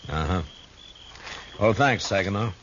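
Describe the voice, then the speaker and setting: An actor playing a steady, shrewd frontier marshal in a 1950s radio Western, dry and authoritative. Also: male voice, lowish voice, unhurried. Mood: calm, probing. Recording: faintly noisy 1950s radio recording.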